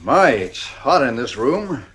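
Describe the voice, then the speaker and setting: An actor playing a genial, unsuspecting family acquaintance in a 1950s radio drama, with a hesitant, musing manner. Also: male voice, medium pitch, big pitch swings, takes it slowly, fairly bright tone. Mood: puzzled.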